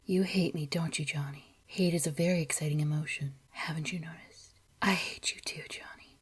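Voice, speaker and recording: A woman playing a bitter, taunting femme fatale from a 1940s noir film, in a breathy half-whisper. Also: deep voice, a little mellow.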